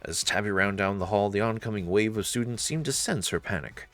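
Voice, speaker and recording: A man's voice: fairly low pitch, fairly quick, a bit bright, soft background music. A man narrating a visual novel as a dramatic story, reading aloud for a let's play.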